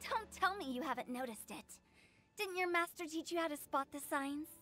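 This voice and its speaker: A woman's voice: high voice, expressive, normal speed, pushed and bright. A woman voicing a playful, teasing young funeral parlor director in a video game, sly and mischievous, with music behind. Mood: suspicious.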